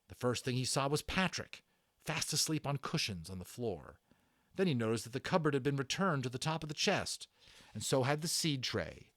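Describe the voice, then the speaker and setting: A man reading a story aloud as a dramatic, expressive narrator, building suspense. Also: medium pitch, no room sound, studio quality. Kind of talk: dramatic read-aloud with casual asides.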